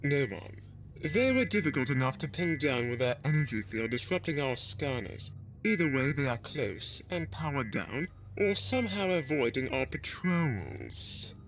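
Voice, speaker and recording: A man's voice: medium pitch, very animated, a little slow, background noise. A man playing a dutiful, literal-minded alien officer in a scripted audio drama, reporting in an earnest military manner.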